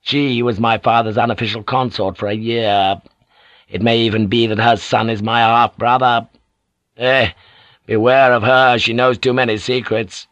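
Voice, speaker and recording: A man's voice: medium pitch, little pitch movement, moderate pace, a bit bright, no room sound, dull audio, natural voice. Man playing a fierce, imperious old samurai lord. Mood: stern, urgent. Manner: imperious, emphatic, giving orders. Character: fierce, scheming older samurai lord, proud and commanding. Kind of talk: audiobook character acting.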